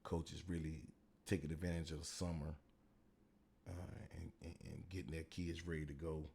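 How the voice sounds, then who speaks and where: Deep-voiced man, relaxed rambling podcast talk. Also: normal speed, creaky, slight background noise. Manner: relaxed, rambling, conversational with frequent fillers. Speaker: podcast host and middle school football coach. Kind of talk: casual solo podcast talk.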